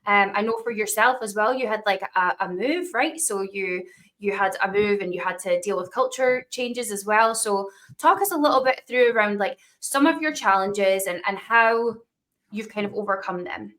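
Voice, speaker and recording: A woman hosts a podcast interview in a casual, friendly way, often checking in with "right?". Her voice is bright and forward, at an ordinary middle pitch. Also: moderate pace. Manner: friendly, frequent "yeah" and "right?". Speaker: podcast host.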